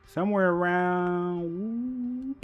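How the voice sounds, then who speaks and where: A man with a somewhat high voice casts a strategy game match, reasoning through unit counts and turn timings out loud. He pads his thoughts with casual fillers, and his voice is still a little sniffly from a recent cold. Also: very slow, dull audio, soft background music. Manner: analytical, thinking aloud with fillers. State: slightly sniffly after a cold. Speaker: tournament caster.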